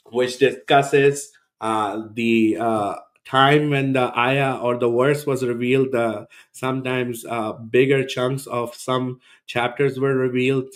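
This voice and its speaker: A man hosting a reaction video, rebutting a podcast clip in a scornful, sarcastic tone. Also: medium pitch. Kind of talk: reaction commentary.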